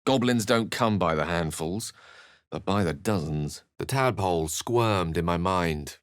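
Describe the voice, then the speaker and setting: A man playing an erudite, theatrical wizard in a video game, speaking and narrating in eloquent, precisely measured phrases. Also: low voice, animated, clean tone, dry recording, studio quality. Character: erudite, urbane male wizard with a theatrical streak.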